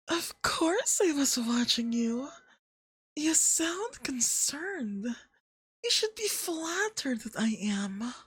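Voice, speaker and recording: Someone doing a smug femboy god for asmr, high and swoopy, super full of himself, flirty and possessive, breathy. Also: big pitch swings, a bit bright, dry recording, full character voice. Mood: haughty, possessive.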